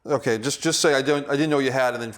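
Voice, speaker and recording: A man's voice: medium pitch, fast. A man working as a director, reading stage directions aloud and coaching his actors. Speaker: director leading a table read.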